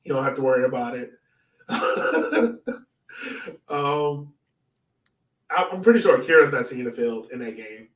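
A man's voice: mid-range voice, expressive, a little slow, pauses often. A man co-hosting a sports podcast, chatting casually about soccer in an informal, joking way. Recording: roomy echo, distant from mic.

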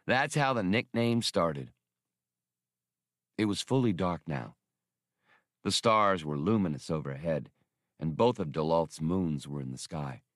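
A man narrates an adventure audiobook in a low voice that moves widely up and down. He reads deliberately and expressively, and the sound is dry and close. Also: expressive, dry recording. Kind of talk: scripted narration of a science fiction adventure novel.

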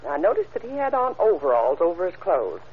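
A woman's voice: on the low side, huge pitch swings, brisk, breathy edge, full character voice. An actress playing an observant, obliging woman giving careful witness testimony in an old-time radio crime drama. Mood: helpful, careful. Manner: careful, matter-of-fact, helpful. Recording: muffled old radio recording, background hiss.

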